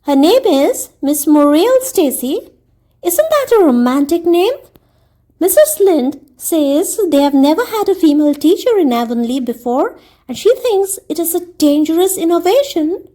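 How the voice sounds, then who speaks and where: A woman's voice: extremely high pitch, sing-song, measured pace, warm tone, voice pushed up, full character voice. A woman voicing an eager, dreamy, talkative young girl, gushing earnestly as a storybook character. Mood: eager, chatty. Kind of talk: audiobook character reading.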